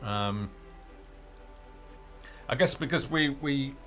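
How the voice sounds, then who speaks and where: A man's voice: medium pitch, slow pace, sharp tone. A man hosting a casual game developer live stream, talking off the cuff in a hesitant, rambling way as he thinks aloud. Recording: music playing behind the voice.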